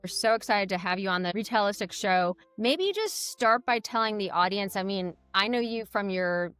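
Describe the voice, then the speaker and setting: Smooth, clear-voiced woman interviewer. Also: medium pitch, lively intonation, moderate pace, a bit bright, dry recording, soft background music. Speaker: podcast host, interviewer. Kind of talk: conversational interviewing.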